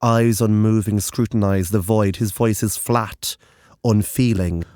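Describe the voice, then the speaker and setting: A man narrates a scripted horror story with grave, literary care. His pitch is on the low side and his pace is slow and measured. The recording is dry and close. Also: steady tone, a little mellow, no echo.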